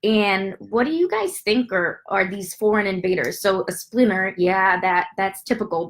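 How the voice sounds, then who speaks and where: A woman speaks with a stuffy, congested voice, as if she has a head cold. She explains a health topic in a chatty way, talking straight to her viewers with plenty of fillers. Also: medium pitch. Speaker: doctor giving a health talk. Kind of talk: casual educational talk to viewers.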